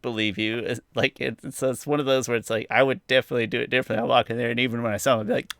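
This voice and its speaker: A man's voice: mid-range voice, little pitch movement, racing, gravelly, fairly bright tone, dry recording. Casual man co-hosting a podcast, dry sound. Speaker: podcast co-host. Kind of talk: casual TV review chat.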